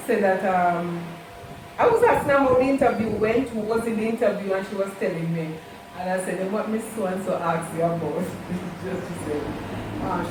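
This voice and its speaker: A middle-aged woman tells family stories at a steady, measured pace and stops now and then to hunt for a word. She sounds set back from the mic in a very echoey room. A steady hum of background noise runs under her voice. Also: medium pitch, distant mic. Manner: measured, searches for words. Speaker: mother. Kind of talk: casual storytelling.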